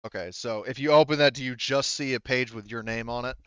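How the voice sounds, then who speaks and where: A man's voice: medium pitch, fairly quick, fairly bright tone, clear, resonant tone, no echo, dull audio. A man chatting casually and informally as game master of an online tabletop game, swearing freely. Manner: informal, profane.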